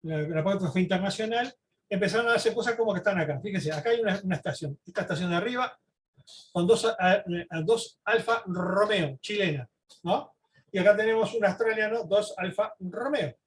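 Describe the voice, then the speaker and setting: A man giving an informal slide lecture, halting, repeating words and often adding short questioning tags. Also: high voice. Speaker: presenter giving a history talk.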